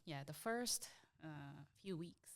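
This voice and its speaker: A woman's voice: low voice, animated, slow. A woman in her forties from Japan with a Japanese accent, a guest talking casually with many fillers. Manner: soft-spoken, many fillers like "you know". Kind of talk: casual interview.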